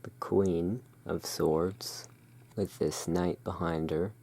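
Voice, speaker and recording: Slow, low, creaky ASMR emporium keeper. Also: fairly even intonation. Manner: unhurried, hushed, pausing between short phrases. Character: owner of a dark gothic curiosity emporium. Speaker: ASMR roleplay host as curiosity emporium owner. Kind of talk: soft-spoken ASMR tarot card showing.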